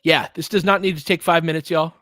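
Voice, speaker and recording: A man doing casual live basketball commentary and analysis, talking informally and thinking out loud as he goes. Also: on the high side, steady tone, quick pace, no echo, studio quality. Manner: conversational, informal, thinking aloud. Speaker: basketball play-by-play streamer and analyst.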